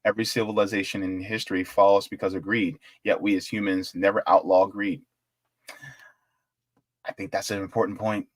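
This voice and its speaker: A young man hosting a live political show, chatting casually and rambling, with excitable bursts. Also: on the low side, normal speed, dry recording. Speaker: political commentary host. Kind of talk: casual live-stream sign-off chat.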